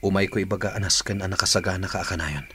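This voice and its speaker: A remorseful young rebel in a radio drama. He speaks in a low voice with earnest resolve, as someone planning a way out. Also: steady tone, rapid-fire, pushed and bright, slight background noise. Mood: earnest, determined. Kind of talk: Ilocano radio drama acting.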